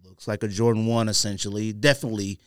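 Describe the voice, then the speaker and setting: A man's voice: fairly low pitch, quick pace, clean tone, dry recording, studio quality. An American man hosting a podcast, talking casually in slang and acting out imagined quotes as he tells it. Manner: animated, slangy storytelling with acted-out imagined quotes. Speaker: podcast host.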